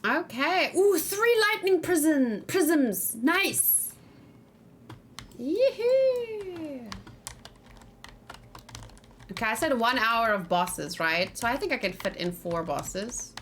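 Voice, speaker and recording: A woman doing a casual let's play, excitable and thinking aloud, often repeating her phrases as she goes. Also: high voice, slow, pauses often, a little hiss or hum. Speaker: game streamer, host. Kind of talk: casual let's play chat and live game commentary.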